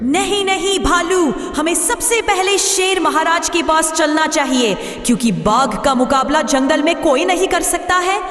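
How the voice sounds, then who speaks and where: A woman's voice: very high voice, a little slow, few pauses, fairly bright tone, soft background music. A woman voicing a fiercely protective, emotional animal mother in a jungle cartoon story. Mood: urgent. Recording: echoey reverb, distant from mic.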